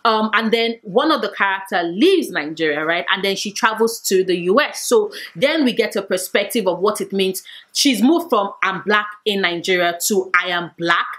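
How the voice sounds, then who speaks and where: A woman's voice: medium pitch, expressive, normal speed, a bit bright. A talkative, playful woman in her late twenties chatting to camera about books. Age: 20s. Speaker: book vlogger. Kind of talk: casual chat to camera.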